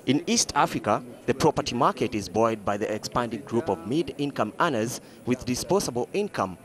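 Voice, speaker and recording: Male reporter, formal news voice-over, measured read, mid pitch. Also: lively intonation, a crowd in the background. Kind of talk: scripted news narration.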